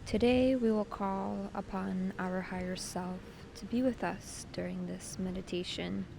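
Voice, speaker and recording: A woman leading a guided meditation with gentle, soothing, unhurried instruction. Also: mid-range voice, fairly even intonation, vocal fry, noisy background.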